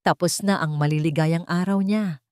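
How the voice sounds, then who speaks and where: Audiobook heroine, played by a woman narrator: young, anxious, out for revenge, urgent delivery, lowish pitch. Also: fairly even intonation, moderate pace, a little mellow, smooth, no echo, studio quality, natural voice. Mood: resolute.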